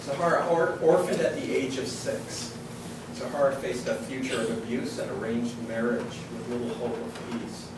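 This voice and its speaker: Male event host, mid-pitched, halting when reading aloud, distant in an echoey room. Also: steady tone, noisy background. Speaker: event host introducing an author. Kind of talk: informal introduction, then reading a book blurb aloud. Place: indoor public reading event, far from the mic.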